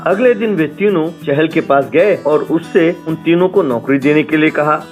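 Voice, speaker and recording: A man's voice: on the high side, normal speed, faint music behind. A man reading scripted story narration as the storyteller.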